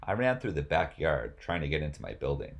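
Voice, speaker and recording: A man's voice: rumbling low, very animated, brisk, vocal fry. A man reading rap lyrics aloud as spoken word, as host of the reading.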